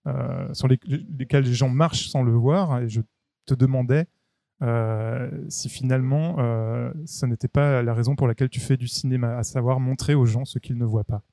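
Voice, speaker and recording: A man interviewing a filmmaker, asking long, measured questions with filler pauses. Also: mid-range voice, fairly even intonation, creaky, warm tone, no echo.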